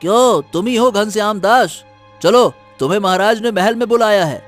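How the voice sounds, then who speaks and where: A man acting a high-voiced, earnest village man. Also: moderate pace, soft background music, close to an everyday voice. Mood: brisk, official. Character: village men and a brisk palace soldier. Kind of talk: animated Hindi moral-story dramatization.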